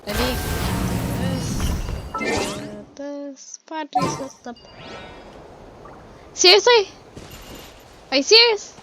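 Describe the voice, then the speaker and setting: A woman's voice: high-pitched, big pitch swings, extremely slow, long pauses. A woman doing casual, slangy video game commentary, often sounding exasperated as she exclaims at the game. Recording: loud game music behind voice. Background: loud video game music.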